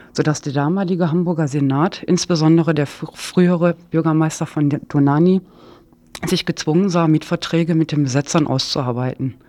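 A man's voice: fairly high pitch, darkish tone, no room sound. A man presenting a scripted radio news report, now and then stumbling over words as he reads.

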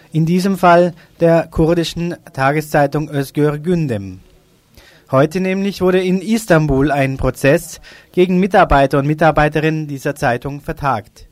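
Man, higher-pitched, radio magazine host, informal delivery, very dry recording. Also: darkish tone, no room sound. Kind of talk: informal radio news presenting.

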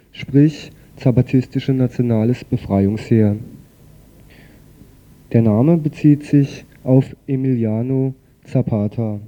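Male radio news presenter, scripted delivery, deeply mellow tone, muffled lo-fi sound. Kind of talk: scripted news report read aloud.

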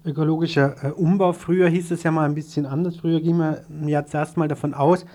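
A man presents an informal radio interview. His voice sits a little higher than most men's as he questions his guest. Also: fairly even intonation, a little fast, warm tone.

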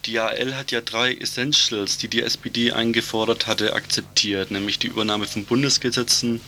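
A man interviewing on the radio about politics, framing his questions in a measured, formal way. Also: mid-range voice, level tone, bright, forceful tone, slight background noise.

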